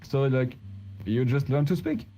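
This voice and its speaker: A man's voice: medium pitch, expressive, unhurried, warm tone, low-quality recording. A man from France with a French accent, a native French speaker, chatting casually in English and often searching for words. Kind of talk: casual chat. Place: online VR voice chat. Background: music.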